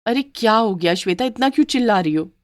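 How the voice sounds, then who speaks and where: A woman voicing an overworked young daughter-in-law in a cartoon story, high and protesting. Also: lively intonation, lightly acted. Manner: high, protesting, quick retorts. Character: overworked young daughter-in-law treated as a maid, put-upon.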